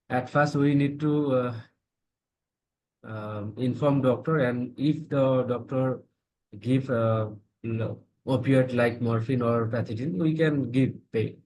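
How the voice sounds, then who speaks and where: A man's voice: medium pitch, darkish tone, breathy edge, poor audio. A man from Bangladesh with a Bangladeshi accent, answering hesitantly as a participant in an online class. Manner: halting, hesitant phrasing.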